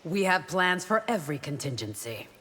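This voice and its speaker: A woman's voice: deep voice, very animated, normal speed, husky, full character voice. A woman voicing a disciplined, wary guardian warrior in a video game, formal and matter-of-fact. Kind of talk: video game character acting. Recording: long reverb, loud background noise.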